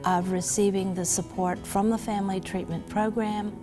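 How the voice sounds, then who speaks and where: A woman, a court program official, describing a participant in a measured, formal way. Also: mid-range voice, little pitch movement, music in the background. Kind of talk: formal spoken remarks.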